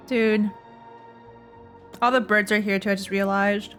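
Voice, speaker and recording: A woman streamer chats casually through a game playthrough. Her mid-pitched voice is slangy and jokey, repeating phrases as she reacts, with faint music behind. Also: fairly even intonation, unhurried, a bit bright.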